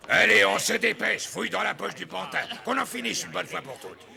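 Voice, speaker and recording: Gravelly, taunting beggar chief. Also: male voice, high voice, animated, fairly quick, sharp tone, exaggerated voice. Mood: bossy, gleeful. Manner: theatrical, taunting. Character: brash, mocking leader of a beggars' brotherhood. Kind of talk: French cartoon dubbing.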